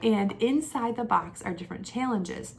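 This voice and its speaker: A woman's voice: fairly high pitch, normal speed, gravelly, a little hiss or hum. A young adult woman talking casually to camera in a product review, chatty and conversational, leaning on key words. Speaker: homeschooling mother and vlogger.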